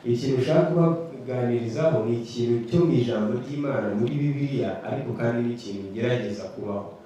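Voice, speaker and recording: A man interprets a sermon live, working steadily through each phrase and sometimes restarting to get the wording right. His voice is mellow and dark. He sounds far from the microphone in a hugely echoing hall, with faint noise behind him. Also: mid-range voice, quick pace. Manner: steady, rephrases as he goes. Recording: distant mic, echoey hall, faint noise.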